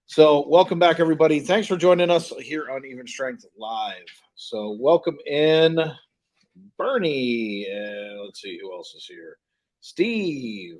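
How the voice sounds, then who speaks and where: A man's voice: medium pitch, expressive, measured pace, darkish tone. A man hosting a live hockey stream, greeting viewers and chatting informally in a scattered, casual way. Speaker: live stream host calling hockey play by play.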